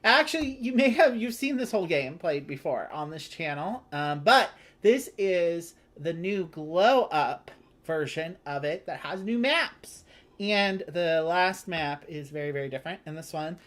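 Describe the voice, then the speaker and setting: A woman's voice: lowish voice, lively intonation, unhurried. A woman hosting a board game live stream, chatting casually to viewers.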